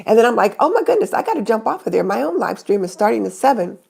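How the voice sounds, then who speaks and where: A woman's voice: fairly high pitch, sing-song, fast talker, vocal fry, darkish tone, slightly rough. A middle-aged woman hosting her own live stream, chatting casually and greeting viewers by name, with a stuffy nose from a cold. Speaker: YouTube channel host.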